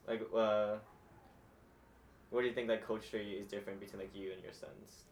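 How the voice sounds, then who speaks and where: A man's voice: lowish voice, flat delivery, a little fast. A man interviewing casually, filling his questions with "like" and "um". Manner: casual, hedges with "like" and "um".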